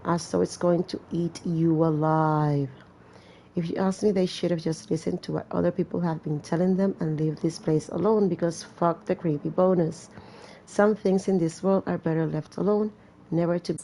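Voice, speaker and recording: A woman's voice: lowish voice, steady tone, moderate pace, warm tone, a little hiss or hum, low-quality recording. A woman doing casual gameplay commentary, reading a story aloud with chatty, joking asides. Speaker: gamer playing and reading aloud a horror visual novel.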